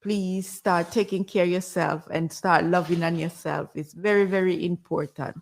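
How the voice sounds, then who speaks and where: A woman with a Jamaican accent, chatting casually as a guest and sharing health tips in a gentle, rambling way. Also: lowish voice, steady tone, normal speed, creaky. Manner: gentle, rambling, many fillers. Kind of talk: casual health advice chat.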